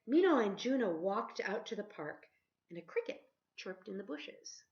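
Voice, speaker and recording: A woman reading a children's picture book aloud expressively, like a teacher, pausing to ask her listeners questions. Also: mid-range voice, sing-song, darkish tone. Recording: dull lo-fi sound.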